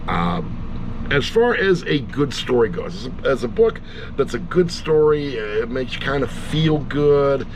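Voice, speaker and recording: Middle aged guy doing a laid back rambly review, wry, mid pitched voice, loud hum in the background. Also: measured pace. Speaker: audiobook reviewer hosting his own channel. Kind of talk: casual rambling review talk. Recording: steady loud air-conditioner-like background hum.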